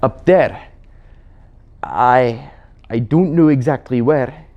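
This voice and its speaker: A man voicing a stubborn, earnest, plain-spoken marsh creature with a halting, dogged delivery. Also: medium pitch, darkish tone, dull audio, natural voice. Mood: earnest, insistent.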